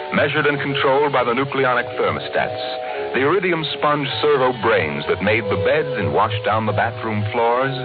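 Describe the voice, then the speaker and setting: Grave male sci-fi narrator, low measured voice with sweeping inflection, tinny vintage radio sound. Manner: measured, theatrical, deliberate delivery. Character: grave, sardonic omniscient narrator of science fiction. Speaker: announcer and narrator. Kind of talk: 1950s radio drama narration. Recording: tinny old radio broadcast, loud music.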